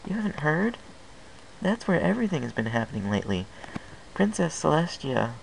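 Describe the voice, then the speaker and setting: Storybook young pony mare played by a man: raised pitch, gentle, hesitant ticket seller, hissy home recording. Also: very animated, fairly quick, gravelly, exaggerated voice, rough recording. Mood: eager.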